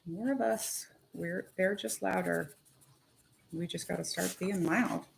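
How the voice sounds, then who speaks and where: A woman talking casually and animatedly as host, with quick asides, laughs and the odd swear word. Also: lowish voice, vocal fry, slight background noise. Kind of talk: casual chat to camera.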